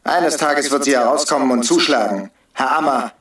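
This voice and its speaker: An actor playing a bitter, taunting rebel hostage-taker who preaches and needles in a radio drama. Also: male voice, mid-range voice, moderate pace, fairly bright tone, close to an everyday voice. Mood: taunting, commanding. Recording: roomy, distant from the mic.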